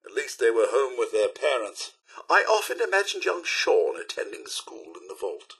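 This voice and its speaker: A man's voice: medium pitch, very animated, moderate pace, a bit bright, slightly rough, heavily acted, poor audio. A man playing a polite, fussy robot butler in a video game, with a British accent and genteel, theatrical, wry courtesy. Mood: wistful, sombre. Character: polite, fussy male robot butler, chipper yet wistful. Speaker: robot companion. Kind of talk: video game companion remarks.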